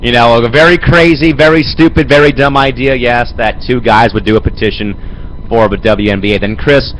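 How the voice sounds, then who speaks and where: A young man with a head cold and a raspy voice, hosting an amateur sports show and rambling with lots of fillers. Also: mid-range voice, steady tone, fairly quick, fairly bright tone, a little hiss or hum, poor audio. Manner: rambling, fills with "man" and "Chris". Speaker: host. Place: home studio.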